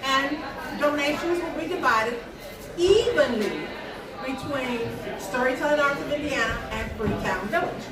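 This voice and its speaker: High-voiced woman emceeing a live crowd. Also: lively intonation, measured pace. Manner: chatty, teasing, playful. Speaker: emcee of a storytelling event. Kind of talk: joking live emcee patter. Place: bar venue with a live audience. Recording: roomy echo from a distant mic with loud crowd.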